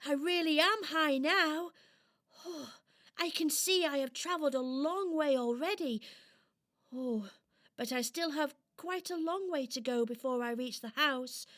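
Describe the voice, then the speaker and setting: A woman's voice: sits high, a little slow, a bit bright, voice pushed up. A woman voicing a small, brave toy doll girl, eager, kind and earnest, in a children's radio play. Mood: determined. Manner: earnest, exclaiming, hesitant.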